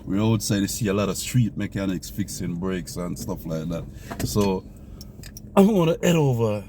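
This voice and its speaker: Deep-voiced man vlogging from his car. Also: lively intonation, normal speed, dark tone. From Jamaica. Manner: rambling, repeats himself, talks to viewers. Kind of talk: casual vlog while driving. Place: car in the city. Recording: noisy car recording with traffic.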